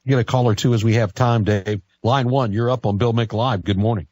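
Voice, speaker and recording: A man's voice: mid-range voice, steady tone, fairly quick, a little mellow, no room sound, lo-fi sound. An American man hosting a live call-in radio show and putting questions to his guest in a conversational way. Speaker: radio talk show host.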